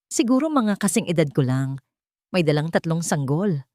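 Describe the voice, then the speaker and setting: A big, shady man, acted by a woman storyteller, talks with casual, probing menace. The delivery swings in pitch and is recorded dry. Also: very animated, moderate pace, a little mellow, no room sound. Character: large shifty man hunting someone, rough, casually probing.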